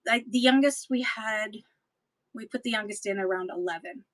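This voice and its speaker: A woman selling books in a chatty live-stream auction, her voice getting hoarse, with playful sing-song asides. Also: on the high side, moderate pace, no room sound.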